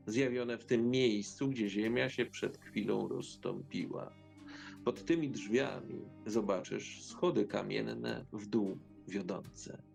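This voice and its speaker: A man's voice: medium pitch, gravelly. A man voicing a scheming, commanding sorcerer who poses as a kindly uncle in an audio fairy tale, speaking with deliberation. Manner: slow, deliberate instructions turning stern or falsely gentle.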